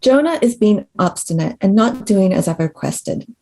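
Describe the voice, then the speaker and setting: A woman's voice: medium pitch, animated, normal speed, mellow. A woman playing an entitled, demanding rich client of an escort, blunt and matter-of-fact, in a table read. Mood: impatient.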